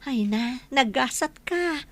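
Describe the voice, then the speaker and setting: A woman's voice: sits high, animated, slow, full character voice. A woman with an Ilocano accent playing a supportive, admiring young friend in a radio drama.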